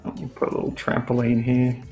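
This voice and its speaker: A young Australian illustrator chatting on a livestream while drawing, relaxed and hesitant with dry humor, on a headset mic. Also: male voice, medium pitch, a little fast, faint music behind. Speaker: illustrator guest.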